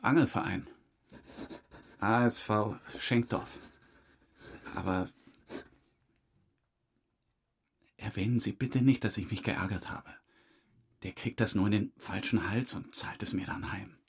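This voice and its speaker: A man's voice: on the low side, measured pace, gravelly, pauses often, breathy edge, exaggerated voice. An actor playing a talkative, defensive man questioned by police in an audio crime drama, colloquial and slightly aggrieved. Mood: guarded. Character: chatty male car owner under questioning, defensive, evasive. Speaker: witness being questioned by police.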